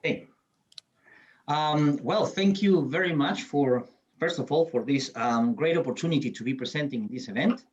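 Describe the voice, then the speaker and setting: A man's voice: a little high, normal speed, husky, highs cut off. Hesitant Peruvian-born man on a panel. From Peru. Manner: hesitant, many fillers and restarts. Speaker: panelist, certification program CEO. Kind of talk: conference panel discussion.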